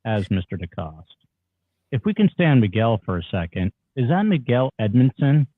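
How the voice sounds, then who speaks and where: A man's voice: mid-range voice, big pitch swings, normal speed, no room sound, phone-quality sound. A man reading the part of a witness's attorney in a deposition, giving curt, clipped instructions. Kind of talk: dramatic reading of a deposition transcript.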